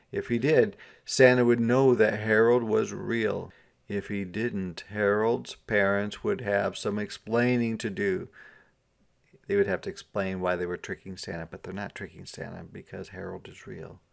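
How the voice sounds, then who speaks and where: A man reading a storybook aloud to children as a teacher, pausing to ask questions and explain things simply. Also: fairly low pitch, creaky. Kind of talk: interactive storybook read-aloud.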